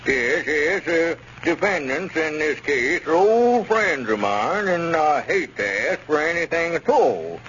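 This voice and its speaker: On a muffled, faintly noisy 1930s radio recording, a wheedling, aggrieved small-town squire. His voice is a little high, smooth-talking and full of self-pity. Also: big pitch swings, takes it slowly, few pauses, fairly bright tone, heavily acted. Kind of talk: 1930s radio comedy acting.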